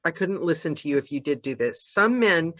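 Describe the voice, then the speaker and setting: A woman's voice: low voice, a little fast. Emphatic woman on dull lo-fi audio. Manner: animated, emphatic, laughs easily. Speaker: co-host. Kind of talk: casual panel talk.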